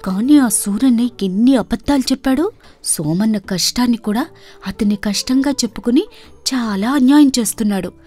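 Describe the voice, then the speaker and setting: A tender-hearted young daughter as a female storyteller plays her in an animated folktale. The voice is fairly high and earnest, with a pleading lilt. There is faint music behind. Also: moderate pace, natural voice. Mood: pitying.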